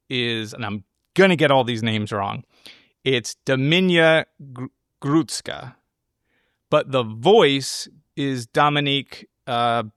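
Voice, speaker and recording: A man's voice: medium pitch, fairly bright tone, no room sound, clean studio sound. A man hosting a film podcast, explaining his take in casual, think-aloud analysis. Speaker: podcast host.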